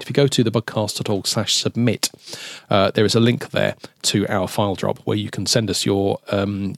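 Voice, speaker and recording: A man's voice: on the low side, creaky, no echo. A man co-hosting a casual music podcast from a studio, cheery and chatty, often stumbling and restarting his words. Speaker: podcast co-host.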